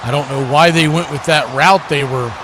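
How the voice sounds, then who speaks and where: A man's voice: fairly high pitch, normal speed, background noise. A man doing live play-by-play football commentary as the announcer.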